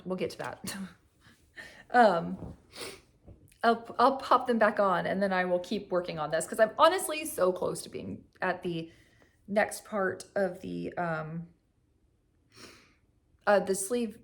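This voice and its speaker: A young woman, slightly hoarse from a cough, chatting casually at home about her knitting, rambling with lots of fillers and little laughs. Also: mid-range voice. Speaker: knitting vlogger. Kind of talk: casual chat. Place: at home on a couch.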